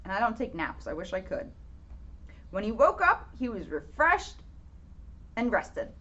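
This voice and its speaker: A woman's voice: medium pitch, big pitch swings, a little slow, bright, forceful tone, lo-fi sound. A woman reading a children's storybook aloud with playful, dramatic emphasis and casual asides.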